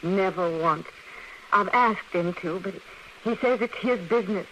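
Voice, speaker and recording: An actress playing an anxious, guilt-ridden frontier woman in an old radio western, halting and repeating words. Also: mid-range voice, a bit bright, lightly acted. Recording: faintly noisy vintage radio recording.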